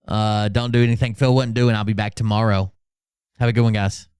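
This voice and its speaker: A man hosting a livestream with casual, sarcastic commentary, blunt and quick to swear. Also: on the low side, fairly even intonation, a little mellow, smooth, no room sound, studio quality. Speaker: livestream host.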